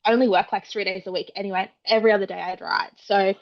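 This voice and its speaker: An Australian woman in her young adult years talks through her story in loose, conversational chat. She strings thoughts together with fillers and quick quoted asides. The audio is a little dull and lo-fi. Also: medium pitch, fairly even intonation. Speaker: guest author. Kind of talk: casual interview chat.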